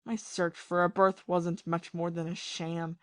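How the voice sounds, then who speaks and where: A woman playing a bitter, lovesick, ruined ex-army captain in a period audio play, confessing passionately and haltingly. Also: lowish voice, flat delivery, no room sound, clean studio sound, natural voice. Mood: self-loathing. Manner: confessional, impassioned, halting with repeated phrases.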